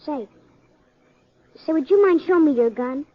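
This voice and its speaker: Casting: woman as high-pitched American farm boy of eleven, earnest and wary, muffled old radio western. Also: lively intonation, moderate pace. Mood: awed, eager. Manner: plain-spoken, earnest country talk. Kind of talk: 1950s western radio drama acting.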